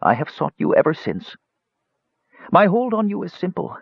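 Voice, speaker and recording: A man playing a desperate, stubborn old wizard in an audiobook, speaking slowly and urgently in a strained, labored voice. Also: sits high, very animated, vocal fry, slightly rough, no echo, highs cut off, pitched above the performer's own voice, heavily acted. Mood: weary, solemn. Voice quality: strained, strangled.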